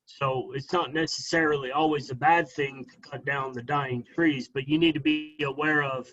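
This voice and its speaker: A man's voice: mid-range voice, fairly even intonation, moderate pace, a bit bright, lo-fi sound. A man, a guest arborist, answering questions at a club meeting in a firm, explanatory way, often checking in with "okay?".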